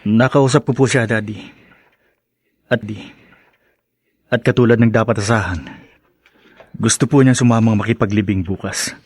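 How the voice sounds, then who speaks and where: A man's voice: on the low side, steady tone, moderate pace, long pauses, a little mellow, breathy edge, dry recording. A man playing a dutiful, respectful adult son in a radio drama, with a Filipino accent. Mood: subdued, respectful. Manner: polite, deferential.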